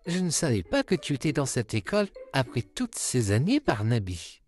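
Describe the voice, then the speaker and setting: Woman voicing a low, gentle boy puppet. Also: very animated, moderate pace, soft background music, full character voice. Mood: surprised, happy. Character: gentle boy puppet, anxious, fond of his friends. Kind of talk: comic dub voice acting.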